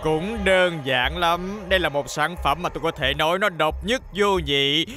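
A man's voice: fairly high pitch, normal speed, sharp tone. A man voicing a smug villainous hat-selling showman in a cartoon dub, boastful and theatrical. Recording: music behind the voice.

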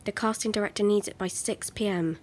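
A woman's voice: mid-range voice, fairly even intonation, a little fast, a little mellow, close to an everyday voice. An actress playing a demanding, insecure young woman who wants reassurance, in a naturalistic audio drama. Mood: bossy. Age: young adult. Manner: insistent, needy.

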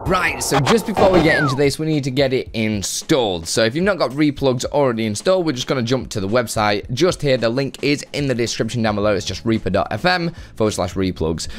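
A man in his thirties hosting a casual, chatty software tutorial at a close desk microphone indoors. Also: medium pitch, animated, fairly quick, few pauses. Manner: chatty, informal. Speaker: tutorial host. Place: home room with guitars, indoors. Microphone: close desk microphone on boom arm.

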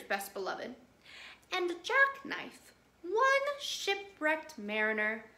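A woman's voice: high-pitched, bright, forceful tone, pitched above the performer's own voice, exaggerated voice. A woman voicing a small, clever male fish who speaks in a polite, coaxing storybook way. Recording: roomy echo.

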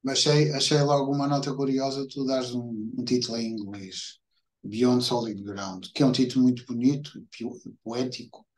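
A middle-aged man with a European Portuguese accent, hosting an informal interview and rephrasing his questions haltingly as he goes. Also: medium pitch, fairly even intonation, a little mellow, rough recording. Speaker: host interviewer. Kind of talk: informal video-call interview. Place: indoor room, video call. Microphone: computer mic on a video call.

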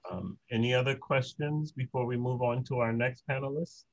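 A man's voice: mid-range voice, steady tone, moderate pace, highs cut off. A man moderating an online panel, introducing speakers in a brief, friendly way.

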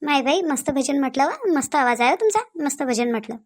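Casting: woman playing a fussy older village hostess, high voice, Vidarbha Marathi accent, cartoon. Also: expressive, a little fast. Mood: welcoming. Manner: fussy, welcoming, hurrying guests along. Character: bustling older village hostess, a mother-in-law. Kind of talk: cartoon voice acting.

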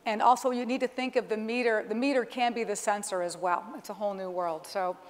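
A woman's voice: mid-range voice, steady tone, fairly quick. A middle-aged woman giving an explanatory live demonstration from a conference stage. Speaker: corporate executive presenting a keynote demonstration. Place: large conference stage, indoors. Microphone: clip-on lapel microphone. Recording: reverberant hall sound through PA.

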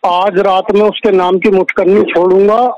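Man, high voice, self-styled holy man, insistent, paternal, repetitive, lo-fi phone recording. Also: level tone, moderate pace, slight background noise. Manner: paternal address, insistent, repeats himself with constant check questions. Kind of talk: phone conversation, sales pitch. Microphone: telephone. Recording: muffled lo-fi recorded phone call.

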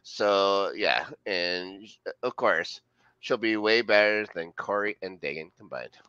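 A man's voice: low voice, slow, pushed and bright, clean tone, dry recording. Sarcastic middle-aged man on a dull, dry call. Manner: sarcastic, joking, swears freely. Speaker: podcast co-host. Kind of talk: casual banter. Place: home room. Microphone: headset on video call.

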